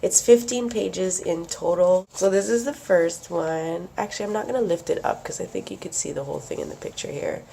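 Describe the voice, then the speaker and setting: Young woman vlogger, hoarse and sniffly from a cold, chatty and giggly, low gravelly voice. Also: fairly quick, few pauses, mellow, slight background noise. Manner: chatty, laughs often. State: losing her voice, sick and sniffly. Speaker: craft vlogger. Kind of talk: casual show-and-tell.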